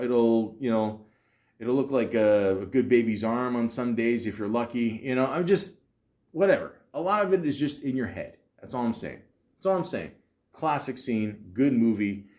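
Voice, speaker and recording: A man hosting a casual comedic podcast, rambling and halting, with profane, sardonic asides. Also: mid-range voice, a little mellow. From Canada. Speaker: podcast host.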